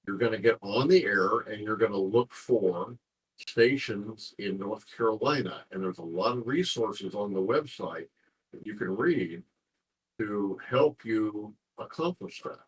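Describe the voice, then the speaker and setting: A man with a husky voice talking informally as an interview guest, explaining things in a rambling way and repeating phrases as he goes. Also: on the low side, animated, unhurried, poor audio. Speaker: interview guest, ham radio contest organizer.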